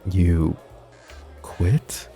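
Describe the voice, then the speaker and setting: A male voice actor playing a lovesick, brooding young mafia boss in an ASMR roleplay. He speaks softly and intimately, sometimes dropping to a whisper, with wry, self-mocking humor. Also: deep voice, extremely slow, gravelly, faint music behind, full character voice. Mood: flustered, teasing. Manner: soft, intimate, drops to whispers.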